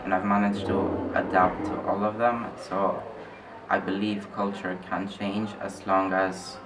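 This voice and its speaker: Very deep-voiced man, mic far off. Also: little pitch movement. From Greece. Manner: measured, repeats words while thinking. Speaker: interviewee. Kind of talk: personal reflection in interview. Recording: distant mic with loud ambient noise.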